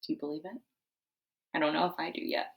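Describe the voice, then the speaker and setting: A woman's voice: sits low, fairly even intonation, quick pace, creaky. A woman reading a picture book aloud, stepping out in a conversational way to comment and put questions to her listeners. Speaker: storybook reader.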